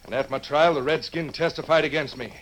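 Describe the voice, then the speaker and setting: An actor playing a scheming, vengeful escaped convict with a tough American way of talking, in a 1950s radio western. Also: male voice, a little high, steady tone, a little fast, a bit bright, close to an everyday voice. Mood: grudging, plotting. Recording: noisy old 1950s radio recording.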